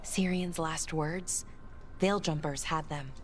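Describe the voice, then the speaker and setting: A woman playing a gentle, grieving young adventurer in a fantasy video game, speaking in a subdued, halting way. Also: deep voice, steady tone, slight background noise. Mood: subdued grief.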